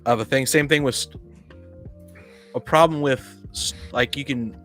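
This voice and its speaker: A man's voice: medium pitch, a little slow, fairly bright tone, faint music behind. A man in a casual podcast discussion, blunt and opinionated, often stumbling and repeating his words. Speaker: podcast panelist. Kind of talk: casual opinionated discussion of tabletop roleplaying games.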